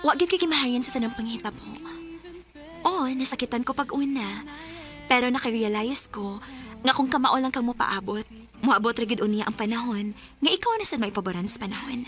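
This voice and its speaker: A woman's voice: fairly high pitch, animated, brisk, a bit bright. A woman with a Cebuano Filipino accent playing an emotional, loving young daughter and sweetheart in a Bisaya radio drama, earnest and melodramatic. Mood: tender. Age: young adult. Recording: music behind radio drama dialogue.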